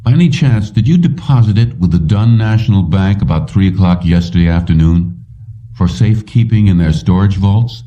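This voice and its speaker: A man reading an audiobook, voicing a shrewd, self-assured man who stays calm under pressure. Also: low-pitched, moderate pace. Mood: probing. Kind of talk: audiobook character dialogue. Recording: slightly distant, dull lo-fi recording.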